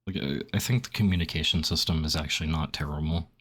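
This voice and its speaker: A man's voice: rumbling low, fast talker, a bit bright, husky. A young man streaming, rambling casually with lots of "like" as he reads survey options aloud and reacts, his throat a little phlegmy. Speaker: gaming streamer. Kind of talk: casual commentary while filling out a survey.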